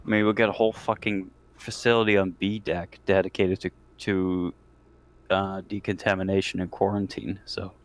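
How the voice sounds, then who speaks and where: Low-voiced man chatting through a tabletop game. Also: level tone, normal speed. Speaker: player in a tabletop roleplaying game. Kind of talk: casual game discussion.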